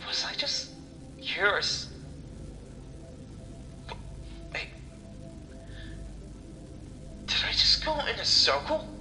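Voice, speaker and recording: A man playing a confused, frightened amnesiac in a horror story, talking to himself in halting questions. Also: high voice, sing-song, voice pushed up. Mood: panicked disbelief. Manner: halting, questioning, sighing self-talk. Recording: echoey sound with music underneath.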